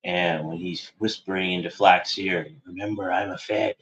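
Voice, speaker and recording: Man, low voice, lo-fi home recording; wandering, snide online commentary monologue. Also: steady tone, normal speed, fairly bright tone. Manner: meandering, sardonic, self-correcting. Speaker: internet show host.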